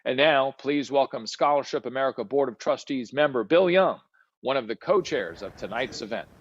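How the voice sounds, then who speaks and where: A man's voice: medium pitch, normal speed, slightly rough, dry recording, dull audio. A man formally announcing the next speaker at an event.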